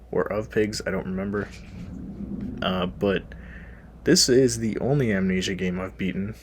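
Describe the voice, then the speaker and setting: A young man with a cold, tired and sniffly, casually chatting through a list of his favorite horror games as host of his channel. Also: fairly low pitch. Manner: rambling, self-deprecating, frequent fillers. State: sick and sniffly. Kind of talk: casual gaming list commentary.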